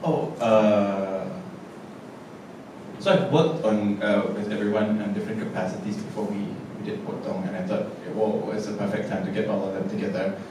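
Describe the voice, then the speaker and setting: A man's voice: on the low side, steady tone, normal speed. Hesitant man in an echoey theatre. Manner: hesitant and reflective, many fillers and restarts. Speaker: theatre director. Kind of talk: post-show panel discussion. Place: large theatre stage. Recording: echoey theatre from a distance with noise.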